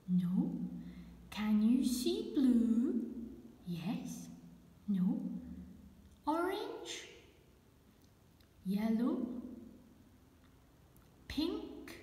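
A woman reads a children's picture book aloud at an extremely slow, deliberate pace. Her fairly high voice swings expressively up and down as she addresses young listeners. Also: sing-song, warm tone. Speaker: children's storyteller. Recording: echoey, reverberant room.

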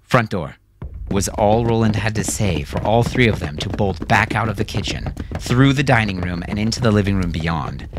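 A man's voice: lowish voice, lively intonation, normal speed, hardly any pauses, background noise. A man narrating a scripted fiction story as a dramatic audiobook reader.

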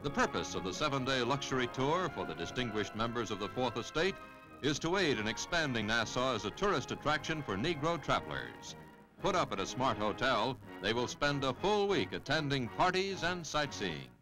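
A man's voice: mid-range voice, big pitch swings, moderate pace, low-quality recording. A man with an American accent reading scripted 1950s newsreel narration in a polished announcer delivery. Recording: 1950s newsreel soundtrack, music behind.

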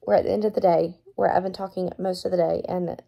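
Hoarse, gravelly-voiced young woman book vlogger. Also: lowish voice, steady tone, fast, warm tone. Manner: exasperated, emphatic, chatty. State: hoarse, losing her voice. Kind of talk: casual book review vlog. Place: small room at home, indoors. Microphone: phone front camera at arm's length.

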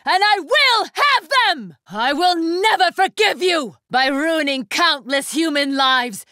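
A woman's voice: very high voice, animated, slow, pushed and bright, no echo, studio quality, heavily acted. An actress playing an imperious, ruthless young woman warrior, speaking in formal, haughty declamations for an anime fighting game. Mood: furious, defiant.

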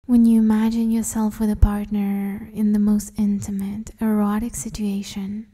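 A woman guiding a meditation in a soft, breathy half-whisper, soothing and gently instructing the listener. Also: medium pitch, monotone, moderate pace. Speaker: meditation guide, host. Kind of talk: guided meditation, hypnosis-like.

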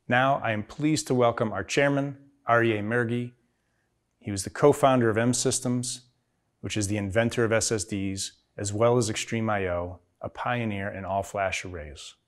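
A middle-aged man presenting a scripted keynote with a polished, promotional delivery. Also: fairly low pitch. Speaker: company executive presenting a keynote.

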